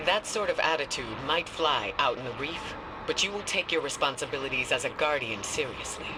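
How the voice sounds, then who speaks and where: A man's voice: on the high side, expressive, fairly quick, natural voice. A man playing a stern but caring military commander in a video game, firm and authoritative. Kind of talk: dramatic video game dialogue. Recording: loud vehicle and ambient noise.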